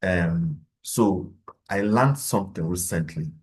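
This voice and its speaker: A man's voice: deep voice, slow pace, darkish tone, slightly rough. A middle-aged man, speaking in a webinar and explaining with many fillers and restarts. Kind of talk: webinar talk.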